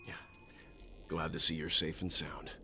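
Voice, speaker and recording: A man playing a composed, analytical, mature traveller in a video game, speaking in a measured, deliberate, scholarly way. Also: very deep voice, monotone, creaky, sharp tone, soft background music, exaggerated voice. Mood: calm relief. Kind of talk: scripted video game voice acting.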